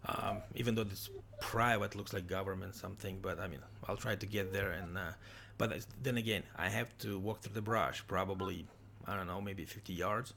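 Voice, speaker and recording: Male livestream host from Ukraine, low gravelly voice, halting casual delivery. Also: steady tone, pushed and bright, a little hiss or hum. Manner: halting, many restarts. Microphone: computer microphone, livestream.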